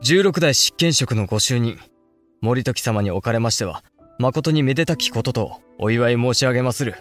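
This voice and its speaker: A man's voice: mid-range voice, animated, moderate pace, soft background music, natural voice. Man playing a blunt young samurai. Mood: formal. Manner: formal archaic speech, firm and frank. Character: blunt, grumbling young samurai elder brother. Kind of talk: Japanese period audio drama acting.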